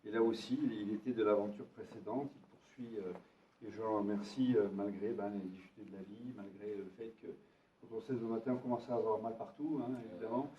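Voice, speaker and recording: A man's voice: fairly low pitch, fairly even intonation, quick pace, slight background noise. A man acting as mayor, introducing local volunteers to a gathering with frequent hesitations and friendly jokes. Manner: hesitant, repeats words, jovial. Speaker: mayor hosting a town meeting. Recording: echoey room, distant from mic, dull sound.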